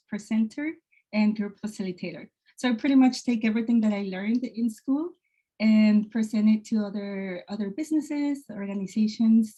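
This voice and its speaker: A woman's voice: mid-range voice, mellow. A woman from Mexico, a little sick, introducing herself as a therapist on a panel, composed. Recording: muffled lo-fi audio.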